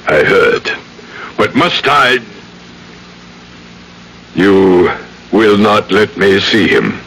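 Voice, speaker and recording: An actor playing a falsely genial older judge in a radio drama, unctuous and pompous with menace underneath. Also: male voice, fairly low pitch, animated, very slow, fairly bright tone, exaggerated voice. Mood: menacing, insistent. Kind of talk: old-time radio drama acting. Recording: noisy old radio drama recording.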